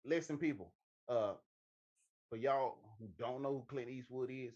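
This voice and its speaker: A man's voice: medium pitch, unhurried, a little mellow, highs cut off. A man co-hosting a movie talk show, chatting casually in an emphatic, sweary way. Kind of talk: casual chat.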